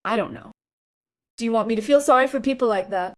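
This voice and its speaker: An actress playing a brisk, irritable, unsentimental police colleague in a crime radio play, snippy and impatient. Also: female voice, fairly high pitch, close to an everyday voice. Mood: irritated.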